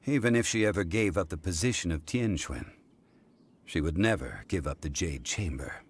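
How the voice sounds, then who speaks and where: A man playing a calm, refined, learned gentleman in a video game, speaking in a measured, formal way. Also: sits low, slightly rough, exaggerated voice. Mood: composed, explanatory. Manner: measured, formal, old-fashioned diction. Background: soft game music.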